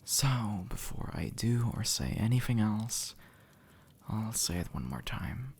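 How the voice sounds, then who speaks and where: A man playing an easygoing, centuries-old demon who was once human, speaking gently and casually to the listener in an intimate audio roleplay. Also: fairly low pitch, big pitch swings, slow, breathy edge, exaggerated voice. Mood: casual, teasing. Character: easygoing two-century-old male demon, formerly human, reassuring and teasing.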